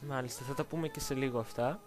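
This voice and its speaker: A woman asking questions as the interviewer in a casual chat. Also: very deep voice. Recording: loud music behind the voice. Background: music.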